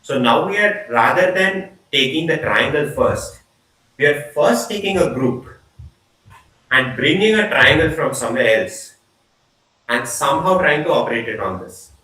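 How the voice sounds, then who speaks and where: A young man lectures on maths over a video call, his voice bright and a little distant from the laptop mic. The room gives it a clear echo. He talks informally, restarting words and letting sentences trail off. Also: a little high, expressive, normal speed. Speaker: student lecturer. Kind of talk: online math lecture. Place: small room indoors. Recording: echoey video call audio.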